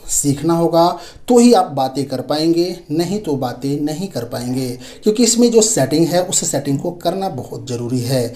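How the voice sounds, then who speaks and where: A man's voice: fairly high pitch, a little mellow. Indian man giving an app tutorial. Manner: instructive, repeats points, addresses viewers directly. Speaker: tech tutorial video host. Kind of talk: informal step-by-step app tutorial.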